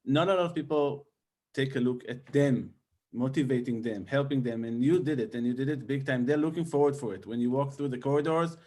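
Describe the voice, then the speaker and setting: A man's voice: mid-range voice, a little fast. A man moderating a panel session, thanking speakers and introducing the next one with frequent restarts. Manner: warm thanks, hesitant restarts.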